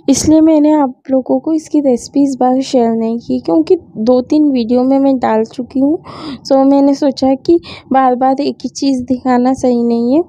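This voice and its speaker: A young woman with a high, gravelly voice that sounds a little sore, chatting easily through a home vlog voice-over. Her tone is dark and mellow, set close and dry on the mic, with a slightly dull, lo-fi quality to the recording. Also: no room sound. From India. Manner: chatty, mixing English words into Hindi. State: sore throat. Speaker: vlogger. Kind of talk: casual vlog narration.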